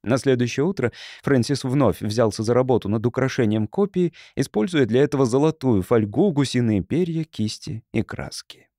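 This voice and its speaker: A man's voice: medium pitch, moderate pace, warm tone, no room sound, studio quality. A man reading literary prose as a scripted audiobook narrator.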